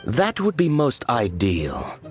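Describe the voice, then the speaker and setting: A man's voice: medium pitch, wildly swooping pitch, slow, soft background music. A man playing a cold, imperious envoy in a video game, speaking formally with veiled menace. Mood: cold, threatening. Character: cold, imperious male envoy of a shadowy organization. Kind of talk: video game villain acting.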